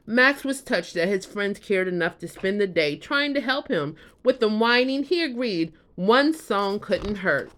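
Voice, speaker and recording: A woman reading a children's bedtime story aloud as an expressive storyteller. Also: medium pitch, a little slow, few pauses.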